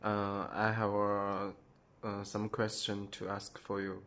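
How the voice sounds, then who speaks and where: A man interviewing a friend, asking questions hesitantly with pauses. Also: fairly low pitch, completely flat delivery, a bit bright, a little hiss or hum. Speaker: student interviewer.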